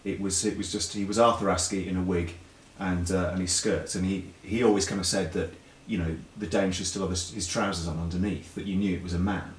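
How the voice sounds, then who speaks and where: A deep-voiced British man chats as an interview guest, explaining things casually with repeated words and self-corrections. The recording is echoey and a little distant from the microphone, with faint noise behind him. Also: steady tone, a little fast, few pauses. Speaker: interview guest, pantomime writer and performer. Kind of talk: casual chat show interview.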